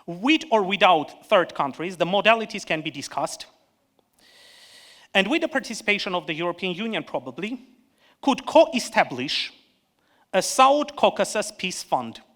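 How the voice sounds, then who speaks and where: Bright-voiced man with an Armenian accent. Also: high voice. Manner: measured, earnest, with restarts and pauses. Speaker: panel speaker, economist. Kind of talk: prepared conference speech.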